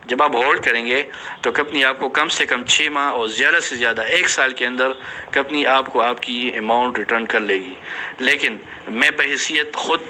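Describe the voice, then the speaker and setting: A man's voice: medium pitch, sharp tone, a little hiss or hum, rough recording. A man giving an informal voice-message announcement to his team, explaining things and repeating phrases for emphasis. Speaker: team leader of an online investment scheme.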